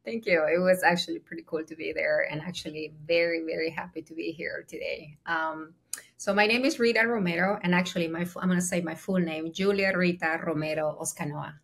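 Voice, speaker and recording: A woman's voice: on the low side, steady tone, a little fast, vocal fry, a bit bright, breathy edge. A woman from Peru, telling her life story as a podcast guest over a video call, earnest with many ums and restarts. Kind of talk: casual storytelling. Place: small room at home. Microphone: laptop webcam on a video call.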